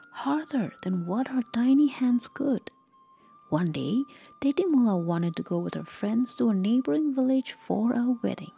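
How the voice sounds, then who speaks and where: A woman storyteller reading a scripted folktale in a soft, measured, expressive read-aloud way. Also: medium pitch, vocal fry, slightly rough.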